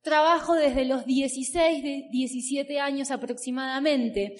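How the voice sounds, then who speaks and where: A woman's voice: high-pitched, steady tone, a little slow. A woman in her thirties with an Argentine accent from the Buenos Aires area, telling her personal story as a motivational speaker at a business event, emphatic and stacking long repeating lists. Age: 30s. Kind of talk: personal story told from a stage. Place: stage before a live audience.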